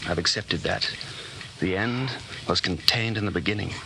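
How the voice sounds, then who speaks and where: An actor playing an earnest, fatalistic rebel in a 1940s radio drama, speaking with grave, declamatory intensity. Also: male voice, low voice, normal speed, gravelly, sharp tone, heavily acted. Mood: fervent, fatalistic. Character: earnest, fatalistic male rebel, secretly in love. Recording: noisy 1949 radio broadcast recording.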